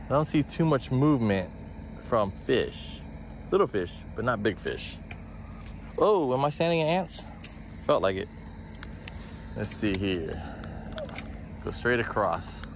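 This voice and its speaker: A man's voice: on the low side, background noise. A man in his forties, a fishing vlogger, talking casually to a handheld camera outdoors by a canal, a bit out of breath from the heat. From South Florida. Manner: chatty, self-talking, upbeat. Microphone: handheld camera at arm's length.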